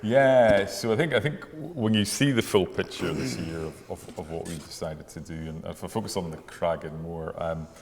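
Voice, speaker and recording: A middle-aged man from Ireland with an Irish accent tinged with Scottish, talking casually and haltingly as a distillery manager being interviewed. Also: low voice. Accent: Irish with some Scottish. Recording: long echoing reverb, faint background noise.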